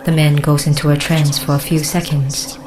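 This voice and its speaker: An actress playing a watchful young woman who narrates her mission in a measured, matter-of-fact way in an audio drama. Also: deep voice, little pitch movement, noisy background, close to an everyday voice. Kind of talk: scripted first-person audio drama narration. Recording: voice over loud music bed.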